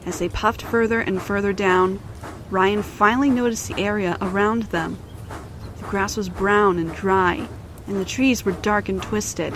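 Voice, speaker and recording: Woman narrating over a noisy background. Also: medium pitch. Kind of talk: scripted children's storybook narration.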